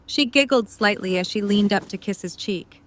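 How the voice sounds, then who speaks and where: A woman's voice: medium pitch, moderate pace, a little hiss or hum. Female narrator, middle register, for scripted romantic story narration in an audio drama.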